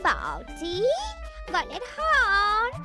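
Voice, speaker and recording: A woman's voice: very high voice, huge pitch swings, extremely slow, music in the background, exaggerated voice. A woman voicing a greedy, picky minister's wife in a cartoon, gushing and demanding. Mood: greedy delight. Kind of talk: exaggerated cartoon dialogue.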